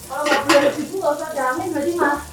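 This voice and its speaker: A woman vlogger chatting playfully, breaking into exclamations and soft trailing asides. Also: sits high, fairly even intonation, fast talker, heavy background noise. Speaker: vlogger, co-host. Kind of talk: casual chat. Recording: very echoey, distant.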